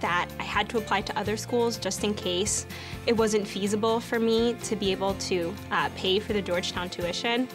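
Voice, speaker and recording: A young adult woman, a student, telling her story with lively excitement in an interview. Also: mid-range voice, normal speed, over music. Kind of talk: interview in a documentary video.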